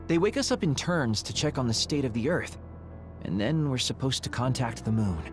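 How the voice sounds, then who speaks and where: Actor as expressive laid-back young male soldier. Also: medium pitch, moderate pace, soft background music, natural voice. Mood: wry, candid. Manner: casual, candid, dramatically expressive. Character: laid-back but secretly determined young male moon colonist soldier. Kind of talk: video game cutscene voice acting.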